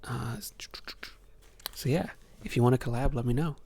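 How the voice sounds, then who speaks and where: A young man from Ohio hosting a soft-spoken ASMR Q&A, answering questions hesitantly with many ums. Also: mid-range voice, normal speed, gravelly, breathy edge. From Ohio, USA. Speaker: ASMR channel host.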